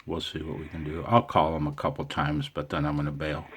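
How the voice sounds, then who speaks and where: A very deep-voiced American man narrates his hobby radio session as he goes. He speaks casually and off the cuff, with a wry, self-deprecating streak. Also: flat delivery, brisk, noisy background. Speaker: amateur radio operator and video host. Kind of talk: casual off-the-cuff vlog chat.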